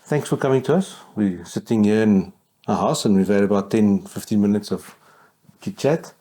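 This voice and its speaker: A man hosting a podcast, asking a guest casual, relaxed questions. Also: fairly low pitch, normal speed. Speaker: podcast host. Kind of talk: casual interview.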